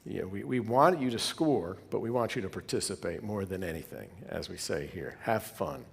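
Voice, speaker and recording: A man's voice: sits low, lively intonation, moderate pace, vocal fry, husky. A man giving an informal, conversational slide presentation to fellow hobby club members, wandering into asides as he goes. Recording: long hall reverb.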